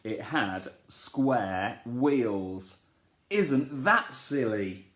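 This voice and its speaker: A man, a teacher, reading a children's storybook aloud in a playful, expressive way, asking the listeners questions. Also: medium pitch, extremely slow. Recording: echoey room.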